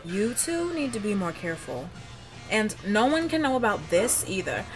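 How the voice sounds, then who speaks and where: A woman's voice: medium pitch, sing-song, normal speed. A woman playing a tough, protective, blunt teenage girl from a 1950s gang in a comic dub. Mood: wary. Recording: music behind the voice.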